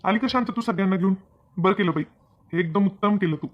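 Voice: mid-range voice, fast, muffled recording, a little hiss or hum, close to an everyday voice. A performer voicing a practical, good-natured male farm owner who nags his workers in a comedy cartoon, with a Maharashtrian accent and colloquial delivery. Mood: welcoming, practical. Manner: colloquial, nagging, instructing. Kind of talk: Marathi comedy cartoon dialogue.

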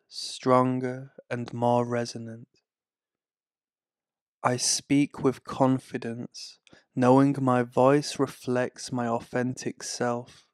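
Calm slow-spoken man, smooth and flat. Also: mid-range voice, no echo, studio quality. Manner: slow, calm, soothing delivery. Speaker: affirmation narrator. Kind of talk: guided affirmation narration.

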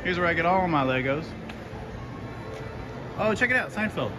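A man's voice: fairly high pitch, expressive, moderate pace, over music. A man, vlogging casually while walking through a mall and making blunt, sarcastic remarks. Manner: sarcastic, blunt, laid-back quips. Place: indoor shopping mall. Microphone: handheld camera at arm's length. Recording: noisy mall ambience.

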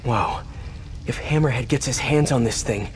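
A man's voice: mid-range voice, moderate pace, background noise, close to an everyday voice. An actor playing a young, wisecracking male superhero muttering to himself in a video game. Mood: wry, focused.

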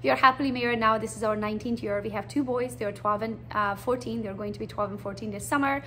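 Woman in her forties, Hungarian accent, high voice, lively blunt storytelling, faint background hiss. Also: quick pace. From Transylvania, Romania. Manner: chatty, blunt, animated storytelling. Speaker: realtor presenting to prospective recruits. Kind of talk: casual recruiting presentation.

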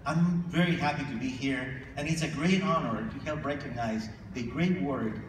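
A man's voice: medium pitch, normal speed. A man giving a formal, emphatic speech from a podium on a convention stage, leading calls for applause. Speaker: chamber of commerce leader presenting awards. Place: large convention ballroom stage. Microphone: podium microphone, filmed from far in the audience. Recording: echoey hall, distant mic, audience noise. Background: audience ambience.

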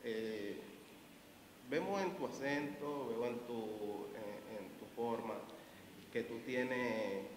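A middle-aged man interviews a guest for entertainment TV, his voice mid-pitched and his questions plain with a few stalling repeats. He holds a handheld mic indoors, and a long reverb trails his voice over a faint hiss. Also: takes it slowly. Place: indoor event room with reverb. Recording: echoey room with faint noise.

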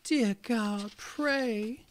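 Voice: medium pitch, drawn-out words, pitched above the performer's own voice, low-quality recording. A reader voicing a frightened, tearful little girl in a read-aloud fairy tale, speaking in a pleading way.

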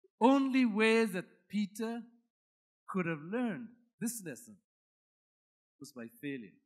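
A man's voice: sits high, very animated, slow pace. A man with a Guyanese accent preaching a sermon as a pastor, emphatic and dramatic, repeating phrases for effect.

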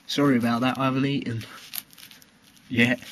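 A man informally presenting collectible cards for sale in a homemade video, speaking haltingly. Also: mid-range voice, flat delivery, a bit bright, a little hiss or hum. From United Kingdom. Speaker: trading card seller presenting his video. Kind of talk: informal product showcase.

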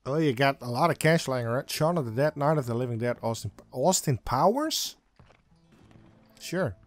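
A man's voice: medium pitch, expressive, normal speed, dry recording, soft background music. A man giving casual, wry, understated commentary as he plays through a video game. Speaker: let's play host.

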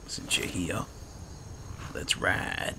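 A man giving casual roleplay commentary on a video game, muttering to himself in short bursts. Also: drawn-out words. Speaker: gamer, video host. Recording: clearly noisy, game sound behind.

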